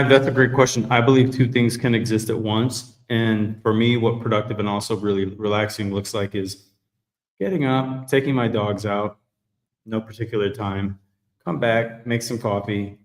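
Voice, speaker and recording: Laid back guy hosting a live talk, lowish voice, kinda echoey. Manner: relaxed, rambling, self-correcting. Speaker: host. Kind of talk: casual live chat.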